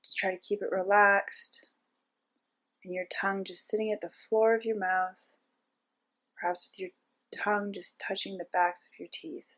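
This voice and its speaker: A woman narrating a guided meditation as a teacher, giving gentle step-by-step instructions with frequent pauses. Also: medium pitch, little pitch movement.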